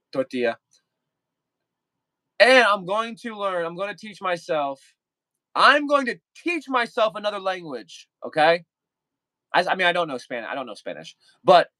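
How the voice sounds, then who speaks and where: A man's voice: fairly high pitch, bright, forceful tone, dry recording. A young man chatting casually with viewers on a livestream, rambling and repeating himself with lots of fillers.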